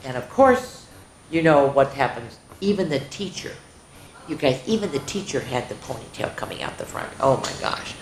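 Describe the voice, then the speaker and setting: A woman's voice: extremely low pitch, expressive, normal speed, slight background noise. A woman hosting children's story time, reading a picture book aloud and breaking off for exclaiming asides to her listeners. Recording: roomy, echoing indoor sound.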